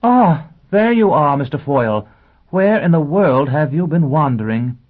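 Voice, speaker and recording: A man voicing a gentle, anxious, well-bred young woman who teaches, speaking in careful, polite diction. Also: mid-range voice, fairly quick, voice pushed up, close to an everyday voice. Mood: brightly commanding. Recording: thin, phone-like band-limited sound.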